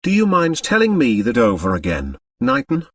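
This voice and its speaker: A male reader plays an older millionaire boss in a mystery audiobook. He is brusque, preoccupied and insistent, with sharp swings in intonation. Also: mid-range voice, huge pitch swings, moderate pace, no echo, studio quality, far from the mic. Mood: puzzled, insistent. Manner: curt, insistent. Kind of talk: audiobook character voice.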